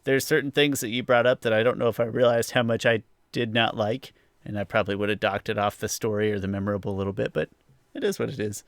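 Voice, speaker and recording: A man's voice: fairly low pitch, steady tone, quick pace, no echo, clean studio sound. A man hosting a podcast, chatting casually through a review in a rambling, hedging way. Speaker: podcast host.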